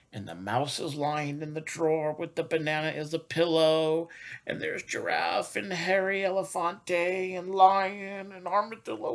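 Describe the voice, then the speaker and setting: Male storytime reader for small kids: unhurried, lively pitch swings, chatty and questioning. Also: vocal fry. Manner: expressive, chatty, asking questions as he reads. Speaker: coach reading to young children. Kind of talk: children's picture-book storytime.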